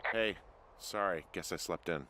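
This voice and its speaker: A man's voice: deep voice, unhurried, bright, forceful tone, a little hiss or hum. An actor playing a weary, sarcastic everyman rookie fire lookout in a video game, speaking in a dry, reluctant, casual way. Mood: sheepish. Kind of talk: naturalistic video game dialogue.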